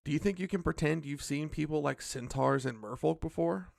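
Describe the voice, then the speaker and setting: An actor playing a cautious, nervous magical companion in an audio drama, creaky and admonishing. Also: mid-range voice, fairly quick, no room sound, studio quality. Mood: cautious, instructive. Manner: wary, admonishing.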